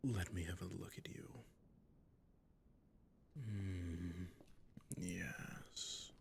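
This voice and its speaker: A man playing a suave, sadistic vampire lord in an intimate audio roleplay, with a breathy, courtly, teasing murmur. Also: fairly even intonation, very slow, a little hiss or hum, full character voice. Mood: seductive, admiring. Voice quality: low, breathy, gravelly.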